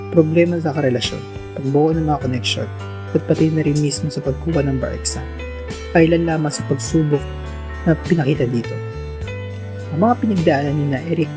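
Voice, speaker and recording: A man narrating a radio drama in a formal, earnest storytelling tone. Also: on the high side. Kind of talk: scripted narration. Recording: music playing behind.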